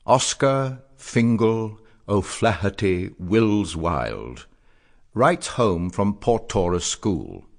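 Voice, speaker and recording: A man delivering scripted biographical narration in a theatrical, measured and articulate way. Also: on the low side. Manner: measured, articulate, deliberate phrasing.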